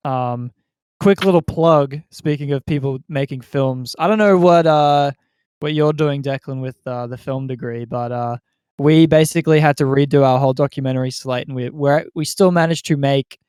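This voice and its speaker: A man's voice: medium pitch, normal speed, a little mellow, clean tone, dry recording. A man, hosting a casual podcast chat among friends. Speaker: podcast host.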